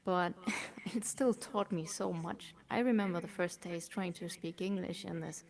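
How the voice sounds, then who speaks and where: Low gravelly German-accented woman. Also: measured pace. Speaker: esports operations manager. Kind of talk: casual interview chat.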